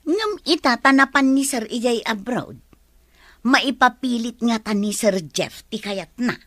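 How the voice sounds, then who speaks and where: A woman's voice: fairly high pitch, lively intonation, measured pace, fairly bright tone, no echo. A woman acting a nosy, gossipy household worker with an Ilocano accent in a radio drama.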